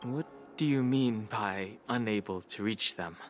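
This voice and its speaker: An actor playing a serious, reserved young man in a video game, calm and measured. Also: fairly low pitch, faint music behind, natural voice. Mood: concerned.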